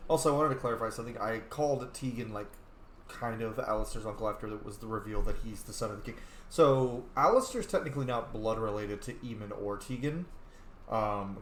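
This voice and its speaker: A man's voice: medium pitch, a bit bright, a little hiss or hum. Chatty man in an echoey room. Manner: chatty, joking asides. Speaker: gamer. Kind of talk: casual gameplay commentary.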